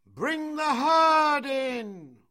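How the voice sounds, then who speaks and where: A proud wolf-raised boy acted by a male narrator speaks in a storybook tale. He declaims in a high, animated voice with scornful defiance. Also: a little slow, heavily acted. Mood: commanding.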